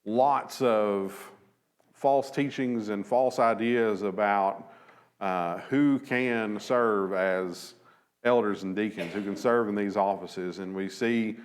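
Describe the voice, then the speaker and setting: Man in his thirties, Bible class teacher, unhurried, halting restarts, church lectern. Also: fairly low pitch. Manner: measured, thoughtful, many fillers and restarts. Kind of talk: Bible study lesson. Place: church auditorium at a lectern, slides behind.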